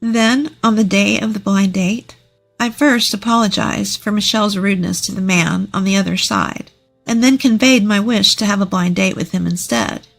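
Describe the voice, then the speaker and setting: A woman's voice: medium pitch, moderate pace, vocal fry, soft background music. A woman narrating a scripted first-person story.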